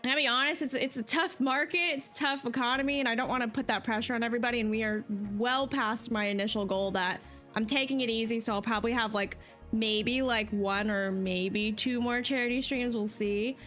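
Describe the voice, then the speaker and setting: A woman's voice: fairly high pitch, steady tone, fairly quick, fairly bright tone, faint music behind. A woman from New Jersey, a livestreamer chatting casually with her chat in a rambling, talkative way. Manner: chatty, rambling with fillers and laughs. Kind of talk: casual chat to a live stream chat.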